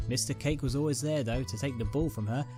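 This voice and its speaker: A man narrates scripted dramatic fiction in a mid-pitched voice. Faint music plays behind the storytelling. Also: fast.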